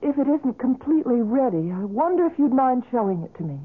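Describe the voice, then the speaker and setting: A woman's voice: high-pitched, sing-song, brisk, a little hiss or hum. An actress playing a polite, persistent young woman, earnest and hesitant, in a 1970s radio drama style. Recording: thin, phone-like old radio recording.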